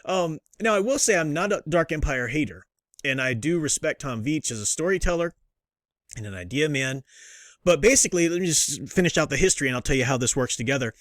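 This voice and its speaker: A man's voice: fairly high pitch, expressive, fairly quick, a bit bright, no echo, clean studio sound. A man giving a casual, unscripted review as a host, rambling and interrupting himself with a fan's enthusiasm. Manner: rambling, self-interrupting, enthusiastic fan talk. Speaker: host reviewing books and comics.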